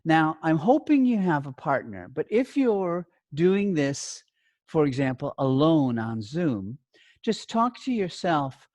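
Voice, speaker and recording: A man teaches in a slow, deliberate way, breaking his instructions into short phrases. His pitch moves around a great deal as he stresses points and checks in with short questions. The sound is close and dry. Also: very animated, darkish tone, no echo. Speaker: teacher trainer giving a lesson. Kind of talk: instructional talk to learner teachers.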